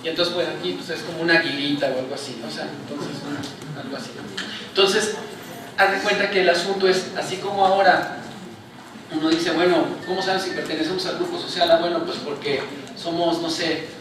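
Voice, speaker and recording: A somewhat high-voiced man with a Mexican accent explains an academic idea to an audience, often tagging his phrases with a questioning check. He is recorded from far off in a very echoey lecture hall, with steady noise behind him. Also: fairly quick. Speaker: book presentation panelist. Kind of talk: academic talk answering a question.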